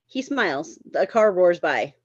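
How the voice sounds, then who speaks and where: A woman's voice: lowish voice, sing-song, a little slow, husky, no echo, muffled recording. A woman reading stage directions at a live script table read, informal and lightly amused.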